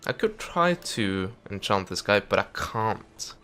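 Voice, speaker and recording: Young man, stuffed-up and nasal, casual game commentary, muttering and swearing at the game, low voice over faint music. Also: sing-song, takes it slowly, fairly bright tone. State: stuffy nose. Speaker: gameplay commentator. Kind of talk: let's play commentary.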